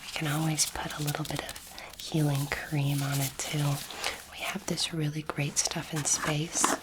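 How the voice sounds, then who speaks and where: A woman whispering gently and reassuringly in an ASMR roleplay, acting as a calm, kindly alien doctor, close to the microphone. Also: low-pitched, low-quality recording. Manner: soothing, gentle, reassuring, unhurried. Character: kindly, calm female alien space doctor examining a human. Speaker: ASMR performer playing an alien space doctor.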